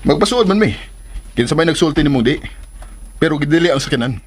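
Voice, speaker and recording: A man's voice: fairly high pitch, expressive, fast, breathy edge. A man playing a proud, devout young businessman in a Filipino radio drama, firm and blunt in how he talks. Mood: guarded.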